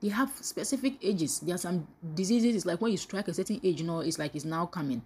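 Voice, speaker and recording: A woman preaches a live devotional. Her voice sits somewhat low with a husky edge. She keeps asking her listeners questions and throws in praise interjections between points. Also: lively intonation, fast, a little mellow. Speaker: preacher leading a daily devotional.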